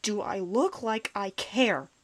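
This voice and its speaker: A woman's voice: mid-range voice, takes it slowly. A woman acting a bitter, despondent outcast in a comic dub, guarded, sullen and dismissive. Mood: curt, defiant.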